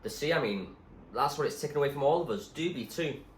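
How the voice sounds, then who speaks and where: A man's voice: medium pitch, expressive, quick pace, pitched above the performer's own voice, close to an everyday voice. A man voicing a plain-spoken, eager young boy castaway in a storybook, with a blunt, earnest way of talking. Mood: solemn. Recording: roomy echo, faint background noise.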